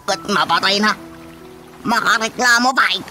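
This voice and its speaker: A man's voice: sits high, normal speed, sharp tone. A man acting an aggrieved older father, complaining and pleading plaintively in an Ilocano radio comedy-drama. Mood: aggrieved, grumbling. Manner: plaintive, grumbling. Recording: faint music under the dialogue. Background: faint background music.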